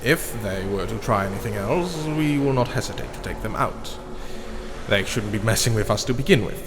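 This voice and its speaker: Scornful vampire henchman played by a man, noisy recording. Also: on the low side. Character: disdainful, menacing male vampire henchman. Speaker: voice actor. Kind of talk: scripted fantasy character dialogue. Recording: clearly noisy background hum.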